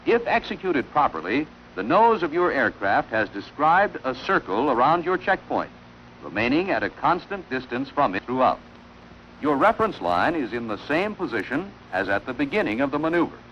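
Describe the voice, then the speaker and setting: A man delivering formal, measured instructional narration in the style of a wartime training film, on an old film soundtrack. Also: mid-range voice, very animated, dull audio, slight background noise.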